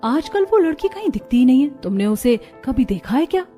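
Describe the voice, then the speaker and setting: High-voiced spoiled rich girl played by a woman. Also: very animated, a little fast, warm tone, soft background music. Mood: chatty. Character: spoiled rich young college girl, chatty and breezy. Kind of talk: cartoon story dialogue.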